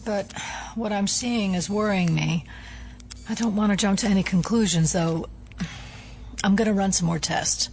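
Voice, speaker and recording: An actress playing a cool, methodical female FBI agent and scientist in an audio drama, measured and drily reproving. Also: mid-range voice, darkish tone, natural voice. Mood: focused.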